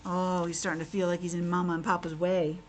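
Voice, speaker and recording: A woman's voice: on the low side, flat delivery, fast. A woman teacher reading a picture book aloud to young children, breaking off for simple conversational asides. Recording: clearly noisy background.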